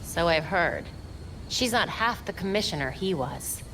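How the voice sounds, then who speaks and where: An actress playing a determined young masked heroine in a video game, speaking in an earnest, assured way. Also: female voice, on the low side, lively intonation, measured pace, a bit bright, a little hiss or hum. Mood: earnest, businesslike. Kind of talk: video game cutscene acting. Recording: music behind the voice. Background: music.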